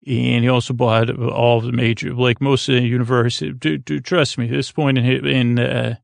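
A man with a gravelly voice hosting a sleep podcast, rambling whimsically and haltingly, often trailing off and circling back. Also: medium pitch, flat delivery, no room sound. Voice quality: very creaky, gravelly. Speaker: podcast host.

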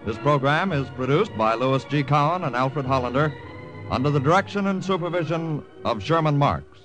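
A man's voice: on the high side, lively intonation, moderate pace, a bit bright, low-quality recording. A man with an American accent reading a script formally and dramatically as a vintage radio announcer. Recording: vintage radio broadcast with music behind.

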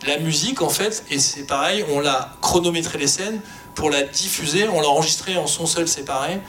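Higher-voiced man explaining, rumbly background noise. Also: a little fast, fairly bright tone. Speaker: audio fiction sound crew member on an expert panel. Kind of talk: informal panel talk, explaining production.

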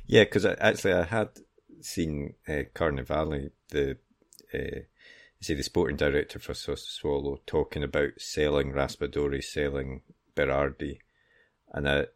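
Really deep creaky guy talking football on a podcast, casual, bit halting. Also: steady tone.

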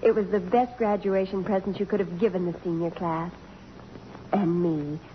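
A woman's voice: on the low side, fairly even intonation, moderate pace, natural voice. An actress playing a tender, sincere young college woman, polite and emotional, in a radio drama. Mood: grateful. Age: young adult. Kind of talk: 1950s radio drama acting. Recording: muffled, noisy old radio broadcast.

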